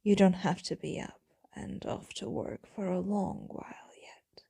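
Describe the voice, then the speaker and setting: Whispery, very breathy female vampire lover, acted by a woman, slow and wistful, gravelly edges, intimate ASMR roleplay. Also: on the low side, very animated, exaggerated voice. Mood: soothing, doting. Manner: slow, hushed, doting, wistful.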